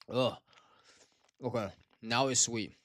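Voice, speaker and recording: A tired young man from Taiwan, chatting to viewers on a livestream in slangy, swearing speech. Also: mid-range voice. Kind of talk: casual livestream chat.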